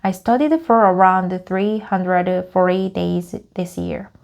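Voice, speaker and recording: Slow, gravelly woman reading aloud. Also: on the low side, fairly even intonation. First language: Korean. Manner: halting, repeats phrases and self-corrects. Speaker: English learner. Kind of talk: reading a script aloud for practice.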